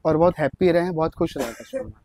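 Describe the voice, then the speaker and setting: A man with a slightly high voice explains his stock and prices in a matter-of-fact way, often answering with short agreements. Faint background noise sits behind him in the small shop. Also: flat delivery, fast, darkish tone. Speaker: shopkeeper. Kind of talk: sales talk, explaining products and prices. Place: small indoor wedding card shop.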